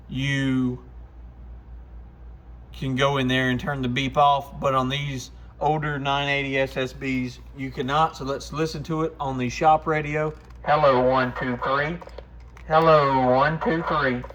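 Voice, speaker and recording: A man's voice: a little high, bright, forceful tone. A man chatting in an informal, folksy way as he gives a casual product demonstration like a shop presenter.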